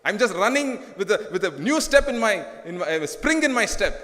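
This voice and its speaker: A man's voice: high-pitched, very animated, brisk, a bit bright. A man with an Indian English accent preaching a fervent sermon to a congregation, repeating phrases and rising to emphatic bursts. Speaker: pastor. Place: church hall. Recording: long reverb of a church hall.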